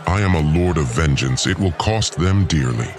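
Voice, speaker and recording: Low, pompous vengeful god acted by a man over music. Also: animated, normal speed. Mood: menacing, bitter. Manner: pompous, menacing, formal. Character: vengeful, disdainful male god lord plotting against humans. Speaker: audio drama voice actor. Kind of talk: dramatic first-person villain monologue.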